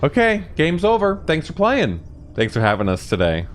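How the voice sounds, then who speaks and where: A male gaming host reacts in a chatty, joking way, muttering asides as he goes. His pitch moves around a lot, and music from the game plays clearly behind him. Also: huge pitch swings, moderate pace. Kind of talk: casual reaction commentary.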